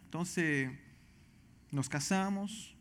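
Earnest Brazilian-accented man, slightly high voice. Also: a bit bright, a little hiss or hum. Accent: Brazilian Portuguese. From Venezuela. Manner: earnest, halting, frequent restarts. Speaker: missionary guest. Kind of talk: personal testimony in church interview.

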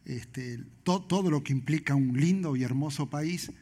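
A man with a Rioplatense accent, a pastor interviewing a guest in church, asking questions with slow drawn-out phrasing. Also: fairly high pitch, dark tone.

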